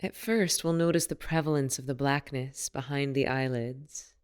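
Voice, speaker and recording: A woman leading a guided meditation as a teacher, speaking in a soothing, unhurried and gently instructive manner. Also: rumbling low, steady tone, clean tone.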